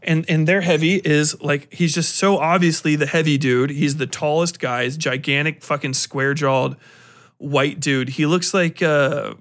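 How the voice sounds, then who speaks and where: Male podcast host, slightly high voice, loose and rambling delivery, animated, swears freely, slangy everyday talk. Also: unhurried. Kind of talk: casual rambling film commentary.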